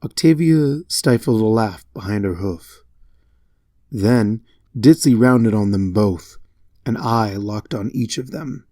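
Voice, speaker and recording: Guy narrating a story, lowish voice, takes it slow. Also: dark tone, no echo, studio quality. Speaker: fan fiction narrator. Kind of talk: scripted third-person story narration.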